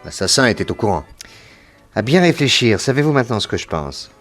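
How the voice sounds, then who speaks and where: An actor playing a composed, probing private detective in a French radio drama, questioning in a measured, deliberate and authoritative way. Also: male voice, medium pitch, very animated, a bit bright, soft background music. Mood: grave, deductive.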